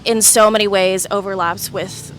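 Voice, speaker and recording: A woman, a guest in an interview, talking in a relaxed and reflective way, often pausing with "like" and "um". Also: mid-range voice, faint music behind, low-quality recording. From United States. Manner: thoughtful, many "like" and "um" fillers. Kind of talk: casual reflective conversation.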